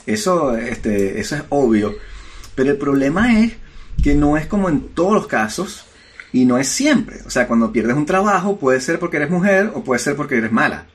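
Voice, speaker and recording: A man's voice: on the high side, very animated, normal speed. Brief: Venezuelan man, podcast co-host, argumentative and emphatic, casual. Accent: Venezuelan Spanish. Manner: argumentative, emphatic, frequent filler words. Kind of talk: casual discussion.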